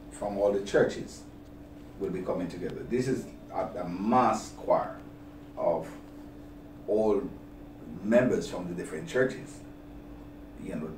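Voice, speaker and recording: An older man, a bishop being interviewed, speaks in a deliberate, halting way and often repeats words as he invites the public to an event. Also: deep voice, a little mellow. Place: small office, seated at a desk. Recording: roomy, distant mic, clear background noise.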